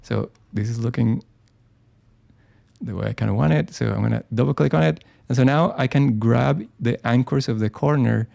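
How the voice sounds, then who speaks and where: A man's voice: medium pitch, normal speed, creaky, dark tone. A man walking through a design tutorial live on screen, talking casually and thinking aloud, with frequent ums and restarts. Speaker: design software presenter.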